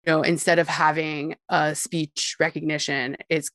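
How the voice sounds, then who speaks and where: A woman's voice: sits low, flat delivery, normal speed, fairly bright tone, no echo. A woman guest giving long explanatory interview answers about technology, often with a light laugh.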